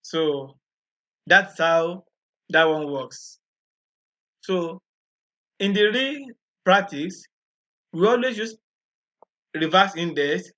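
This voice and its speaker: A man with a slightly high, bright voice talks slowly and patiently through a coding tutorial. He explains each step and often repeats himself. The recording sounds dull and lo-fi. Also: lively intonation. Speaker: programming tutor. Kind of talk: screen-recorded coding walkthrough.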